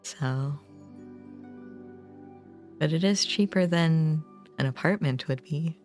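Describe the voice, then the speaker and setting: Young woman, low pitch, casual streamer chat, halting informal phrasing, music in the background. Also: a little mellow. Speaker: game streamer.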